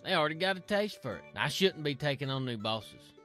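A man's voice: a little high, big pitch swings, fairly quick, over music. A man playing a proud, touchy Appalachian moonshiner with a rural Southern American accent in an audio drama. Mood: grudging, boastful. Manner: folksy, touchy.

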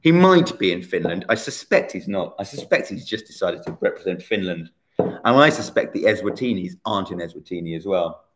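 A man in his forties hosting a casual online quiz from a bright room at home, chatty and teasing, recorded on a laptop webcam. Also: low voice, animated, a little fast. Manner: chatty, teasing, self-mocking. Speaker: quiz host.

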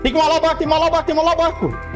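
A young Brazilian man doing excited live game commentary, repeating himself and swearing in slang. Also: very high-pitched, very fast, music in the background. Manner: excitable, repetitive, heavily profane slang. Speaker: gaming streamer. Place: indoor room, webcam streaming setup.

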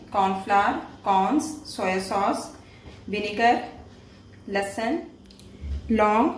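A woman, hosting a home cooking channel and narrating a recipe step by step in a friendly, plain way. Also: mid-range voice. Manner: friendly, explains each step plainly with small pauses. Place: home kitchen. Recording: echoey room, voice a bit distant, faint noise.

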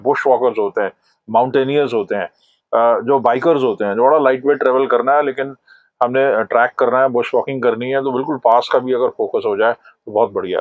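A man's voice: mid-range voice, no echo, dull audio. A middle-aged man in a shop, explaining binoculars in a product review, hesitating often with "uh" fillers. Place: binocular shop, indoors, shelves of boxes. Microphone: lapel mic.

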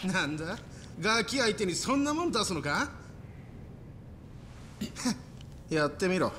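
An actor playing a defiant, hot-headed fourteen-year-old gang boss in a dramatic game scene, brash and rough-spoken. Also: male voice, high-pitched, big pitch swings, slow pace, sharp tone, a little hiss or hum, heavily acted. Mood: defiant, taunting. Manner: brash, rough slang, taunting. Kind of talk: dramatic video game acting in Japanese.

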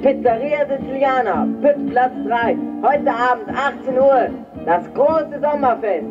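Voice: sits high, unhurried, highs cut off, over music. A performer playing a resourceful, confident youngster who leads a group of friends in a radio play. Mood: announcing, festive.

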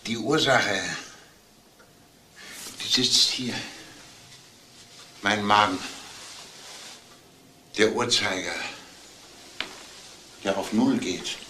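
Sick older businessman, slow and heavy-hearted crime-drama delivery, slight background noise. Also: on the low side, pushed and bright, full character voice. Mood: grave, confessing. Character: sick older businessman, weary and guilt-ridden. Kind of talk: crime film drama acting.

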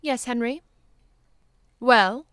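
An actress plays a kindly bishop's wife in an audio drama. Her voice is fairly high, and she speaks in a gentle, polite, long-suffering way. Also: extremely slow, clear, resonant tone, no room sound. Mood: pleading. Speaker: actor in a drama.

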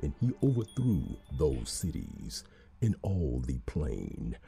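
Male actor as grave sci-fi agent, scripture-quoting, very deep boomy bass, wide swings in pitch. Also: sing-song, gravelly, warm tone, soft background music, full character voice. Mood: solemn. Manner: grave, deliberate. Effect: boosted bass.

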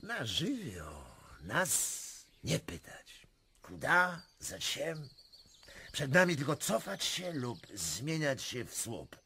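An actor playing a volatile, mocking Russian prince in a radio drama, theatrical, grandiose and fatalistic. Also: male voice, mid-range voice, sharp tone, a little hiss or hum, full character voice. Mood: boastful, taunting. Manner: theatrical, swinging from boasts to grave confessions.

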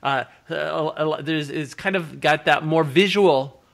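Higher-voiced older male interviewer. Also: unhurried, gravelly, a bit bright. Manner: reflective, musing at length. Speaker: interviewer host. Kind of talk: casual interview chat. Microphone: laptop video call.

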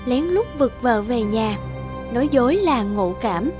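A woman's voice: fairly high pitch, lively intonation, unhurried. Woman narrating a folktale over music.

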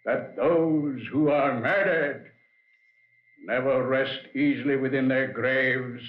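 An actor playing a vengeful undead old man in a 1940s radio horror play, slow, theatrical and coolly gloating. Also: mid-range voice, fairly even intonation, lightly acted. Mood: grim, vengeful. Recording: muffled, echoey vintage 1940s radio.

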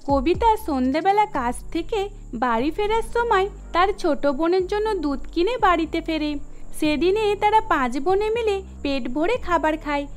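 A woman narrating a scripted cartoon story. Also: extremely high pitch. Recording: music under the voice.

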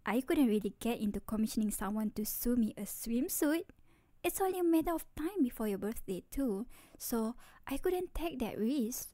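A woman's voice: high voice, mellow, no room sound. A woman playing a sweet, playful, doting young girlfriend in an ASMR roleplay, cutesy and tender, close to the microphone. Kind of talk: ASMR roleplay, scripted acting.

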